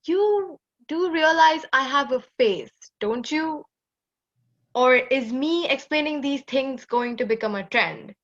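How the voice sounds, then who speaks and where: A woman's voice: high-pitched, lively intonation, takes it slowly, fairly bright tone, highs cut off, exaggerated voice. A woman playing a sardonic, impatient masked starship crew member in a sci-fi audio drama, with a dry, sarcastic delivery.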